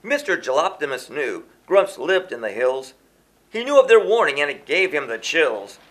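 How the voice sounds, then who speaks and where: Male storyteller for rhyming kids' book: very lively intonation, bright and punchy tone, emphatic sing-song rhythm. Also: on the high side, normal speed, poor audio. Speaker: children's book author reading their own book. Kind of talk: rhyming children's story narration, read aloud.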